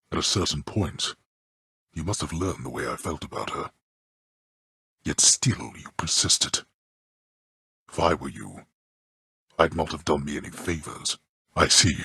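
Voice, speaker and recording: A haughty god-king of the underworld for a video game, with a very deep and heavily rasping voice. His speech is formal and dryly contemptuous, swinging widely in pitch as he passes judgment like a stern father. The sound is close and dry. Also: sharp tone, no echo, heavily acted. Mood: grave, subdued. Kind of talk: theatrical video game character dialogue.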